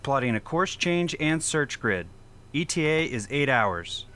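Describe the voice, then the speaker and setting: A man's voice: medium pitch, very animated, unhurried, a bit bright. A man playing a dutiful helm officer aboard a starship in a sci-fi audio drama. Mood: businesslike.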